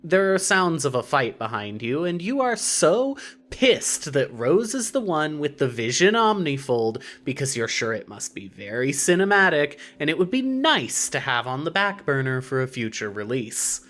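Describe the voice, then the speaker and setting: A man narrating scripted fiction in a measured, wry manner. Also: on the high side, sing-song, a bit bright.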